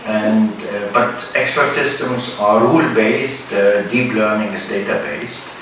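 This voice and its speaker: An older man answers slowly, often pausing to search for the right English words. His fairly low voice sounds distant from the mic in a very echoey room, over a clear hiss of background noise. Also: fairly bright tone. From Germany. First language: German. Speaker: professor being interviewed. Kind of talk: interview answers.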